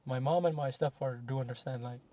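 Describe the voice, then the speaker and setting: A man's voice: medium pitch, steady tone, fast talker, dark tone, no room sound. A young man talking casually and reflectively in a podcast chat, with many fillers and self-corrections. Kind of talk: casual conversation.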